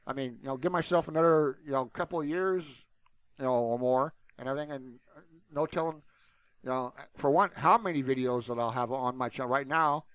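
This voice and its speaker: A man's voice: medium pitch, a little fast, fairly bright tone, no echo. A man hosting his own channel, talking to viewers in a casual, unscripted ramble peppered with "you know". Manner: informal and unscripted, repeatedly saying "you know". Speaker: YouTube channel host.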